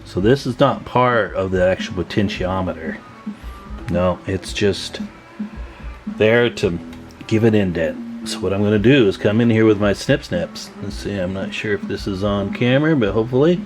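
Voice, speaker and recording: Low-voiced man in a folksy repair demo. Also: normal speed, soft background music. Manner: folksy, informal, thinking aloud. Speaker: radio repair hobbyist hosting a tutorial video. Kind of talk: casual hands-on repair demonstration.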